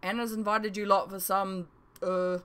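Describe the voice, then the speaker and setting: Storybook mentor, read by a woman: bossy man, brisk and insistent with his pupils. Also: medium pitch, little pitch movement, a bit bright, clear, resonant tone, close to an everyday voice.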